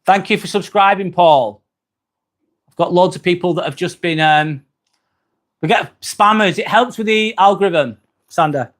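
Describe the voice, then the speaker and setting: A man's voice: high voice, moderate pace, long pauses, fairly bright tone. A man with an accent from near Manchester, hosting a casual livestream and reading out viewer comments in a chatty, friendly way. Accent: northern English, near Manchester. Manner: chatty, friendly, frequent "um" fillers. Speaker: livestream host.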